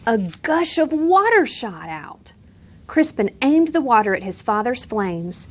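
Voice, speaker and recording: A woman reading a children's bedtime story aloud with expressive, gentle storytelling. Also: on the high side, measured pace, noisy background. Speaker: reader.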